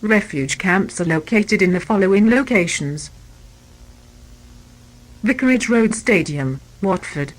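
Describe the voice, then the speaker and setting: Woman emergency announcer, formal and impersonal, scripted alert, very up and down pitch. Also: expressive, measured pace, long pauses, low-quality recording.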